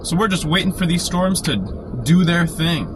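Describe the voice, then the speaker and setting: A man with a sore throat from a cold, keeping his voice quiet and low while chatting casually on a live stream from inside a parked car. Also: steady tone, moderate pace. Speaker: storm chaser live-streaming. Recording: noisy car interior. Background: car and outdoor traffic noise.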